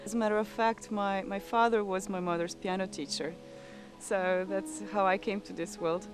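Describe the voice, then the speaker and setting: A woman's voice: mid-range voice, fairly even intonation. Croatian-accented woman pianist, thoughtful. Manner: thoughtful, with frequent pauses and repeated words. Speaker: competition-winning pianist. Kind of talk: reflective interview answers. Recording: music playing underneath.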